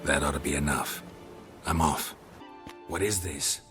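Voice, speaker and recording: Weathered monster slayer for a game: very deep, deadpan, matter-of-fact, loud score underneath. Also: male voice, a little slow. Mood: probing. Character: stoic, weathered male monster hunter, methodical and world-weary. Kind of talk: video game cutscene acting. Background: loud music.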